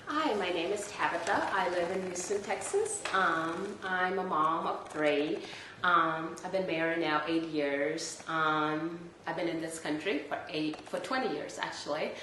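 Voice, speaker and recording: A woman, a mother, introducing herself and telling her life story in a halting way. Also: on the low side. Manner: halting, with frequent "um". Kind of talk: personal self-introduction. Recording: very echoey room with faint noise.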